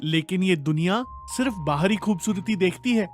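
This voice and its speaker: A man's voice: high-pitched, lively intonation, moderate pace, faint music behind. Man acting an insecure young cartoon husband. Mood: earnest. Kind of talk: animated comedy story voice acting.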